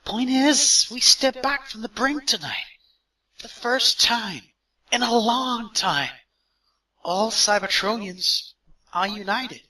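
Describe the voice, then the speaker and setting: A man's voice: extremely high pitch, takes it slowly, sharp tone, distant mic, exaggerated voice, rough recording. A man playing an earnest, stubborn young robot leader in an audio drama, speaking with insistence. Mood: earnest, firm.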